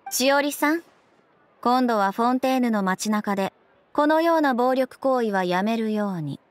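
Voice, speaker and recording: An actress playing a stern, principled young police officer in a video game, with formal, clipped, businesslike speech. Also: female voice, fairly high pitch, lively intonation, moderate pace, lightly acted. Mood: stern, official. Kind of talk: Japanese game voice acting.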